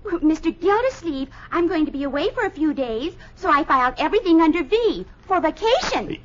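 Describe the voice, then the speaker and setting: A woman's voice: very high-pitched, expressive, brisk. A woman playing a scatterbrained, naive female secretary in an earnest 1950s radio comedy style. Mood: chirpy, earnest. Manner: earnest, naive comic delivery. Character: scatterbrained, chirpy female secretary, easily tearful. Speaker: comic actress. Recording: dull, noisy old radio recording.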